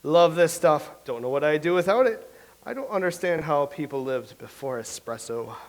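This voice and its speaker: Guy playing a nervous old-timey playwright, high-pitched, stammery and dramatic. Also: animated, normal speed, lightly acted. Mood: pompous. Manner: theatrical, fretful, stammering soliloquy. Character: nervous fussy male Elizabethan playwright, self-doubting. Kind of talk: staged reading of a comedy play.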